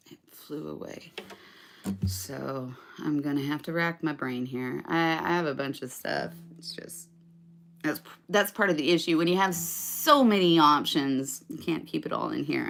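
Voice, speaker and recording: A woman's voice: sits low, gravelly, slight background noise. A woman chatting casually with viewers on a live stream between songs, rambling and hesitant with lots of fillers. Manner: chatty, self-deprecating. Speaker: singer-songwriter live streamer.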